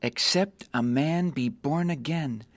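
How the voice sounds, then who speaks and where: A man's voice: on the high side, takes it slowly, dry recording. A male actor playing an earnest, newly saved young man in a radio drama, solemnly and fervently reciting scripture. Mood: fervent, gentle urging.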